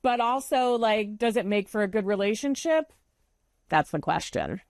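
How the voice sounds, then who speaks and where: A woman's voice: mid-range voice, animated, moderate pace, a little mellow, no echo, studio quality. An American woman chatting as a panelist on a casual TV recap, earnestly making her case in a conversational way.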